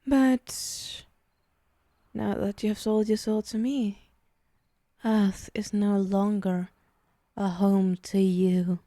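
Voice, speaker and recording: A woman playing a possessive, teasing female demon in an ASMR roleplay, menacing yet indulgent, hushed and speaking directly to the listener. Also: medium pitch, level tone, takes it slowly, long pauses, no echo, studio quality, close to an everyday voice. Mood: smug, coaxing.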